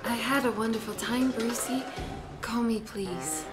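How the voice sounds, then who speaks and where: A fairly high, cooing voice for a lovestruck, clingy young girlfriend, played by a woman. She lingers softly on her words like a superhero radio play sweetheart, with music clearly behind her. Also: fairly even intonation, measured pace, close to an everyday voice. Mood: adoring, wistful. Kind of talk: fan-made superhero audio drama acting.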